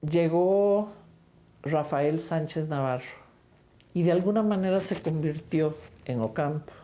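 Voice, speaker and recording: An older woman from Costa Rica, a film director, talking about her work in a reflective, unhurried interview. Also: low-pitched, warm tone, slight background noise.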